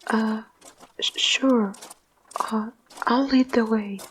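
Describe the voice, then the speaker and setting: Casting: meek, sorrowful ghost, performed by a woman, slightly high and slow, halting audio-drama delivery, soft music behind. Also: fairly even intonation, slight background noise, rough recording. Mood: timid. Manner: timid, halting, breathy trailing off. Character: shy, apologetic, gloomy little ghost in audio drama.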